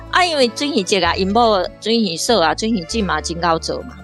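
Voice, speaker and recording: A woman with a Taiwanese accent, an academic giving casual, animated, chuckly literary commentary. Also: mid-range voice, normal speed, soft background music. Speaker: associate professor, literary commentator.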